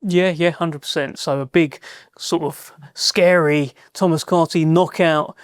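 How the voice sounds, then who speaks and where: A man's voice: fairly high pitch, steady tone, measured pace, smooth, no room sound, studio quality. A man interviewing a boxer, asking friendly, prompting questions. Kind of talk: sports interview.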